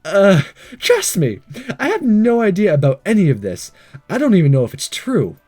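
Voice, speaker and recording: A man's voice: high-pitched, wildly swooping pitch, moderate pace, mellow. A man hosting a game playthrough, reading visual novel text aloud with jokey asides and the odd stumble.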